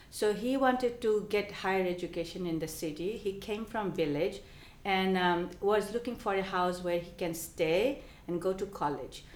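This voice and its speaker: A woman from Bangladesh with a Bangladeshi accent, telling her life story in an oral history interview, halting often with ums and restarts. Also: medium pitch, reverberant.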